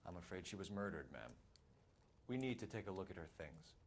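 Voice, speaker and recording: A man's voice: low-pitched, expressive, fairly quick. An actor playing an earnest, businesslike male police detective in scripted video game dialogue.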